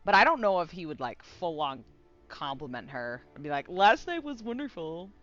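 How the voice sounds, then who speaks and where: A woman streamer giving casual, giggly, excitable and profane live commentary on a game. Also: lowish voice, moderate pace, dull audio, soft background music.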